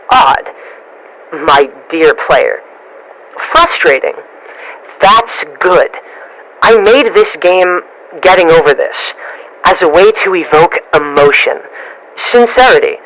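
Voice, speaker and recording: A man's voice: fairly high pitch, lively intonation, slow, pauses often, fairly bright tone, full character voice, poor audio. A man playing a pretentious game creator who narrates to his player in a pompous, philosophical manner. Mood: lofty, solemn.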